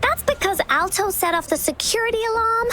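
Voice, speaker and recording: A cheeky young girl teases her companion in a game cutscene. Her voice is very high and bounces around a lot, playful in tone, with music running underneath. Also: animated, a little fast, bright, forceful tone, full character voice. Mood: teasing. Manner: teasing, playful. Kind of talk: video game cutscene acting.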